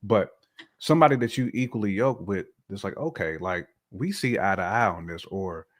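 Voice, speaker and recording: This is an American man with a fairly deep voice. He talks in a colloquial, conversational podcast style. His delivery is emphatic, with regular check-ins to make sure he is followed. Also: moderate pace. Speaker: podcast guest or co-host discussing relationships. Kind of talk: casual conversational podcast talk.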